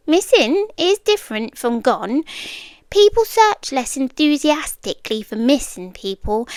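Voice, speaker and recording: A woman's voice: high voice, smooth, no room sound. A British woman voicing an obsessive, sweetly menacing girlfriend in an intimate ASMR roleplay, coy and cooing with whispery dips.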